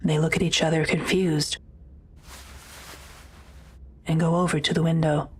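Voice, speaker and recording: Casting: female audio drama narrator, very low register, scripted delivery, slight background ambience. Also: little pitch movement, measured pace, a little hiss or hum.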